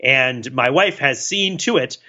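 A man with a bright, forceful voice chats casually as a podcast host. His pitch moves a great deal as he rambles with wry self-deprecation. The recording is slightly dull. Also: animated, a little slow. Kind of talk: casual chat.

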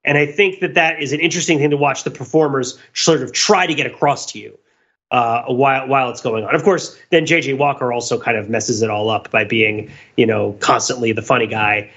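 A man's voice: medium pitch, steady tone, a little fast, fairly bright tone, dull audio. A man talking through ideas on a podcast in a casual, analytical way, digressive and emphatic. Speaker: podcast host.

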